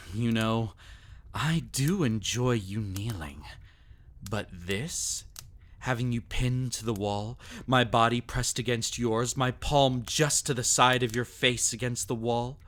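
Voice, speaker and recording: A man's voice: medium pitch, animated, takes it slowly, fairly bright tone. A man playing a sadistic, possessive vampire in intimate ASMR roleplay, theatrical and taunting, often dropping into a whisper. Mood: possessive, arrogant. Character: sadistic, possessive male vampire toying with a captive.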